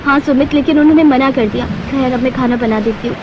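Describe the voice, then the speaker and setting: A woman's voice: high voice, quick pace, highs cut off, background noise, lightly acted. A woman voicing a humble, hardworking housemaid and mother with an earnest manner in a Hindi cartoon story. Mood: worried.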